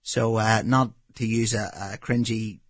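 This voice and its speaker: A Northern Irish man in his thirties, a restaurant owner answering interview questions in a relaxed, matter-of-fact way with frequent ums. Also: fairly low pitch, a little slow, husky, no echo, clean studio sound. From Northern Ireland.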